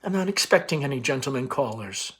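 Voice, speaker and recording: A man reading the part of a shy, nervous young daughter, gentle and hesitant, in a stage play. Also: medium pitch, lively intonation, fairly quick, breathy edge. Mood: meek. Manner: hesitant, subdued.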